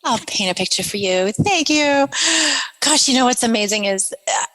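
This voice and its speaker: A woman from Iran, a podcast guest telling her story in an animated way, often repeating words and gasping for emphasis. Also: fairly high pitch, normal speed, long reverb tail, low-quality recording. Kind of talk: storytelling interview.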